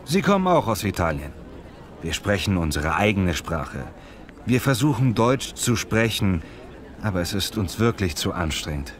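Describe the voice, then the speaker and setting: A man's voice: on the low side, big pitch swings, normal speed, slight background noise. A man playing a lazy, muscle-proud Italian language learner in a scripted lesson dialogue, speaking in simple, complaining phrases. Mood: casual, self-satisfied.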